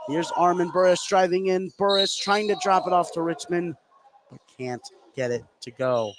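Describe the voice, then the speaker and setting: Man, sports play-by-play, slightly high pitch, clipped present-tense phrasing, live broadcast, clearly noisy gym ambience. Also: moderate pace. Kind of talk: live basketball radio commentary.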